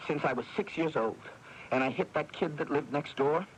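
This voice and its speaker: An actor playing a tormented, guilt-ridden son with a fierce temper, halting and repeating himself in a radio drama. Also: male voice, fairly high pitch, close to an everyday voice. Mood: tormented, despairing. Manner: agitated, repetitive, halting, accusatory. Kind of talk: 1980s radio drama acting. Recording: noisy old radio broadcast recording.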